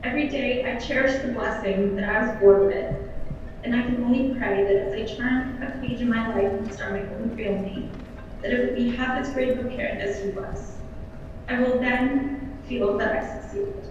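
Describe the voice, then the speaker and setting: Young woman, higher voice, heartfelt letter reading, distant echoey dull hall sound. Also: fairly even intonation, normal speed, a little hiss or hum. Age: young adult. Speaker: speaker.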